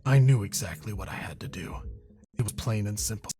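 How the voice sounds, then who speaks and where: A man's voice: lowish voice, animated, brisk, mellow, lightly acted. An actor playing a troubled, weary man hunting a killer in a video game, earnest and conflicted. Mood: resolute. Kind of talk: dramatic video game dialogue. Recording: music under the voice. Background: music.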